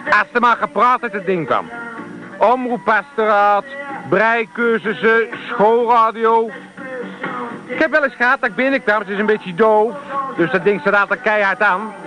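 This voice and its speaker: Actor as a high-pitched, hesitant technician. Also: male voice, normal speed, pauses often, muffled recording, over music, natural voice. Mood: reminiscing. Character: chatty, slightly hesitant technician reading out meter values. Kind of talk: Dutch radio play acting.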